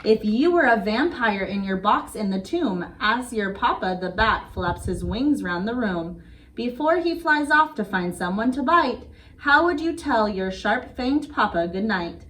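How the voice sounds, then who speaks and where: A woman's voice: on the high side, animated, unhurried, a bit bright. A woman hosting story time and crafts for young children, talking in a simple, sing-song way. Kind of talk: picture-book read-aloud and craft demonstration for young children. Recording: noisy, distant microphone.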